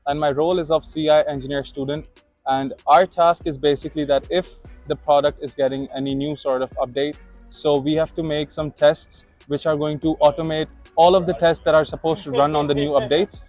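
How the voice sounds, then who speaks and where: A man's voice: a little high, level tone, fairly quick, darkish tone, soft background music. A man in his twenties from Pakistan, a student intern, explaining his work at length in technical terms. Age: 20s.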